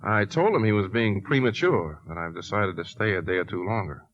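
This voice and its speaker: An actor playing a loyal, troubled brother-in-law in an earnest, firm 1950s radio drama style. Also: male voice, fairly low pitch, expressive, a little fast, a bit bright. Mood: gentle, guarded. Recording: muffled 1950s radio broadcast recording, music.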